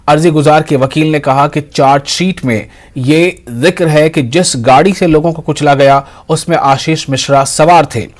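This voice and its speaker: A man reading scripted news in a formal style as an anchor. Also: on the high side.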